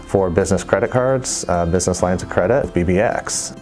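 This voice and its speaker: A man who works as a bank manager, explaining in a measured way with frequent "you know". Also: low-pitched, darkish tone. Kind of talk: interview testimonial. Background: faint background music.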